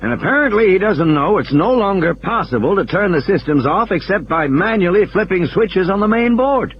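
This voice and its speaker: A man's voice: high voice, lively intonation, moderate pace, highs cut off, a little hiss or hum, voice pushed up. A man voicing a tense, blunt control-room engineer who speaks emphatically in an audio play. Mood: intent, analytical.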